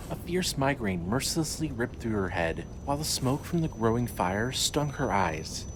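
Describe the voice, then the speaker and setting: A man narrating a scripted horror story as its storyteller. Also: mid-range voice. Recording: clearly noisy ambient background.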